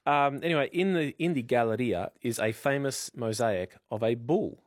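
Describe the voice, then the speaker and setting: A man's voice: medium pitch, moderate pace, no echo, clean studio sound. A man presenting news on radio, telling a light story playfully with many ums. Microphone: studio microphone.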